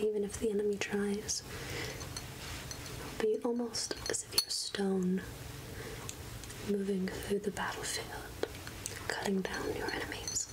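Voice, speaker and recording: A woman playing a secretive woodland witch who sells potions, whispering softly and intimately in an ASMR roleplay. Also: mid-range voice, natural voice, rough recording. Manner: slow, deliberate, intimate, addressing the listener as darling. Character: Agatha, secretive woodland witch selling potions, coaxing and darkly kind.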